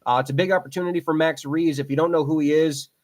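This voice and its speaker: A man's voice: on the high side, fairly even intonation, fast talker. Chatty young man hosting a racing podcast. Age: 20s. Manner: rambling, repeats himself, frequent fillers. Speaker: podcast host. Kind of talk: casual solo podcast commentary.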